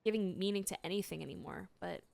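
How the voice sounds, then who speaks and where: A woman's voice: medium pitch, fast, vocal fry, mellow, no echo. A woman streamer chatting casually and expressively while reacting to a game story.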